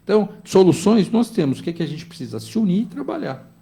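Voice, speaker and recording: Gravelly, lively-voiced man in his fifties. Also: sits high, quick pace. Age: 50s. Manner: emphatic, insistent, keeps checking with the listener. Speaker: business executive guest. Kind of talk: opinionated studio discussion.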